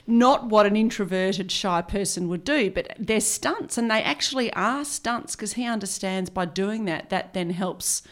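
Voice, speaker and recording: A woman's voice: medium pitch, measured pace, hardly any pauses. A woman with a mid-range voice leads the conversation as a show host. She is chatty and readily chimes in, keeping to an informal interview style.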